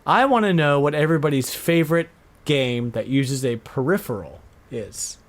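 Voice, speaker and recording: A man's voice: a little high, normal speed. A middle-aged man chatting casually as the host of a podcast. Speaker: podcast host and retro game store owner.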